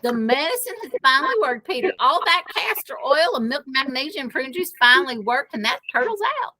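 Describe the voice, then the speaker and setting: Woman playing an excitable storybook grandmother. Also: high voice, brisk. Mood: jubilant. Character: excitable grandmother, relieved, scolding, shouting news. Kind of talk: children's storybook read-aloud.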